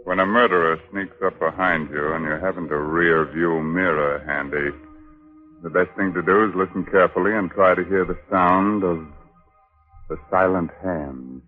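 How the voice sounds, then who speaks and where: An actor playing an ominous, sardonic narrator telling a 1940s radio mystery slowly and deliberately. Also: male voice, low-pitched, lightly acted. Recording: narrow old radio, music behind.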